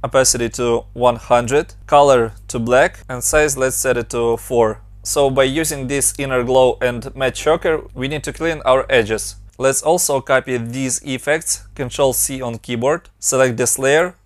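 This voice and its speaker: A young man from Ukraine narrates a tutorial in his Ukrainian accent, moving briskly from one instruction to the next. His mid-pitched voice is recorded dry and close, with no room sound. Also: steady tone, no room sound. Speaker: motion design tutorial host.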